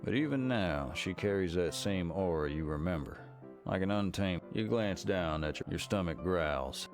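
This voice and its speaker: A man's voice: low-pitched, expressive, moderate pace, soft background music. A man performing scripted second-person narration as the storyteller of a Wild West mystery game. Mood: measured.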